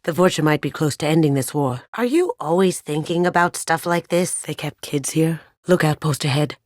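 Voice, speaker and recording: A woman's voice: sits low, normal speed, no room sound. An actress playing a sly, playful young woman squadmate in a video game, with a dry, understated wit. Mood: dry, casual. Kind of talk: scripted video game companion dialogue.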